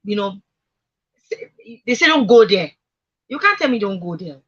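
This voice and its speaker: A woman with a Nigerian accent and a husky voice in a conversational radio discussion, emphatically repeating phrases to make her point. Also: medium pitch, big pitch swings, normal speed, fairly bright tone, highs cut off. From Africa. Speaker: radio talk show speaker.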